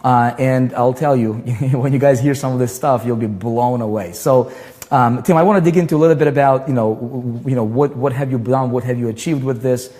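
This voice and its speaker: A man hosting an online show, talking with excitement and rambling through many fillers and restarts. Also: medium pitch, brisk, big-room sound. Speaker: host. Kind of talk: livestream chat and interview intro.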